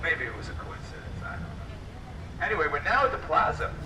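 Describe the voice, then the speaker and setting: Male trolley guide, hoarse and raspy, chatty PA patter, distant over heavy vehicle noise. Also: a little high, sing-song, a little fast. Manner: chatty, joking, addresses riders as folks. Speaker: trolley tour guide and driver. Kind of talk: live guided tour commentary over a PA. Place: moving trolley on city streets. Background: trolley engine and street noise.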